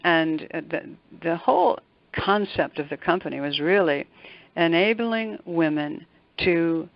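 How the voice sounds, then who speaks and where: A woman's voice: fairly low pitch, no room sound. Older woman, emphatic speaker, dull dry recording. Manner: emphatic, repeats words for emphasis. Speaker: motivational speaker and executive coach, interview guest. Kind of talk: conversational interview answers with anecdotes.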